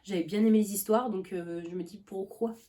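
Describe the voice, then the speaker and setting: A woman's voice: mid-range voice, steady tone, fairly quick, dark tone. A young woman vlogging about books in a loose, rambling chat, full of fillers and restarts, with a cough now and then. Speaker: book vlogger. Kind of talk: casual chat.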